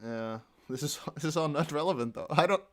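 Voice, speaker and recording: Male streamer with a Dutch accent, slightly high voice, excitable live commentary, laughs often. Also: fairly quick. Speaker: crypto news streamer. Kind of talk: live commentary on a court hearing.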